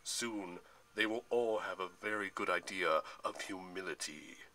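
Man playing a deep, booming, sneering Titan warlord. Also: pushed and bright. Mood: gloating. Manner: slow, sneering, pompous. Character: booming, menacing, gloating Titan general, ancient and male.

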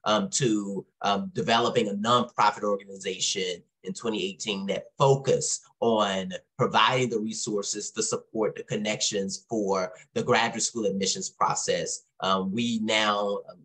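Texan man, 30s, low voice, earnest guest speaker on a video call, lots of ums. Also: steady tone, measured pace, a bit bright, low-quality recording. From Texas. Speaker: nonprofit co-founder, guest speaker. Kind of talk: webinar talk. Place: small room at home.

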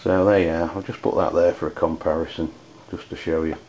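An Englishman talks through an unscripted product review in a low, flat voice. His delivery is hesitant and halting. The recording is dull and lo-fi. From United Kingdom. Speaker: amateur radio reviewer. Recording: dull lo-fi audio with background noise.

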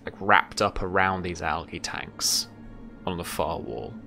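A young man narrating a tabletop role-playing game as game master, pausing to search for his words. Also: low-pitched, fairly even intonation, gravelly, sharp tone. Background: background music.

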